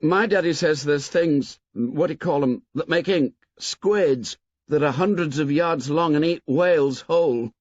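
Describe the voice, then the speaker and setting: A man voicing an excitable schoolboy who rambles eagerly in breathless run-on sentences. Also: a little high, measured pace, dry recording, voice pushed up. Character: chatty excitable schoolboy, Maurice. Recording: dull lo-fi dry audiobook.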